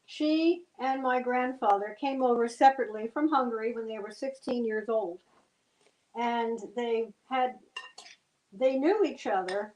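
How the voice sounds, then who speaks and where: A woman's voice: on the high side, measured pace, a little mellow, roomy sound, a little hiss or hum, poor audio. Homey older woman explaining a recipe. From New Jersey. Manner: homey, explains steps plainly. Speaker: guest cook. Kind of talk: cooking demonstration chat.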